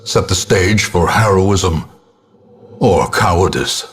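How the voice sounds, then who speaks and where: An actor playing a grim old male ancestor who narrates a gothic video game in a portentous, measured, theatrical manner, with a gravelly, husky voice. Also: low voice, exaggerated voice. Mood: grave, ominous. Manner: portentous, measured, ornate diction.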